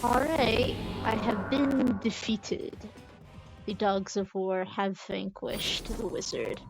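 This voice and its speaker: A woman's voice: mid-range voice, takes it slowly, creaky. A woman game streamer giving casual live commentary, musing aloud as she thinks things through. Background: music.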